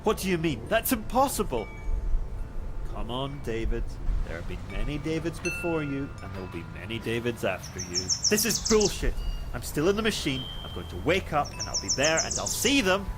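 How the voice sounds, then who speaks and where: An actor plays a male colonist, one clone among many, in a science fiction audio drama. His voice sits at an ordinary middle pitch. Noticeable environmental noise runs behind the dialogue. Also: very animated, moderate pace, lightly acted. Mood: distraught, then calm, resigned.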